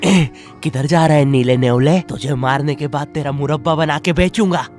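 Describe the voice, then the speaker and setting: A man's voice: a little high, normal speed, a little mellow, soft background music, close to an everyday voice. A man voicing a brash, boastful boy hero in a comedy cartoon. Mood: threatening.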